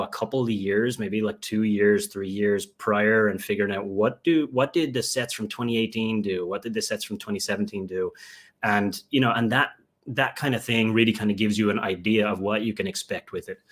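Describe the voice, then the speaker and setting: A young man with an Irish accent talks through his answers in a lowish voice. He rambles and circles back, filling gaps with "you know" and "um" as he restarts his sentences. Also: a bit bright. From Ireland. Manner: rambling, many fillers and restarts. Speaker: guest, Lego investor. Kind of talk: remote interview answers.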